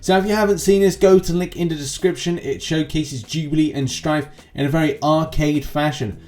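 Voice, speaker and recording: A man's voice: fairly high pitch, fairly even intonation, a little fast, darkish tone. Chatty man hosting gaming news. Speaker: host of a gaming news video channel. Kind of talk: casual news commentary on a mobile game.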